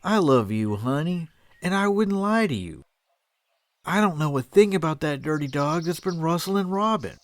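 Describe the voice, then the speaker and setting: A male actor plays a smooth-talking cowboy sweetheart in a Western audio drama. His voice is fairly high and very lively in pitch, ardent and proud, pitched at melodrama. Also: moderate pace, lightly acted. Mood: lovestruck, pleading. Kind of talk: comic-book Western radio drama.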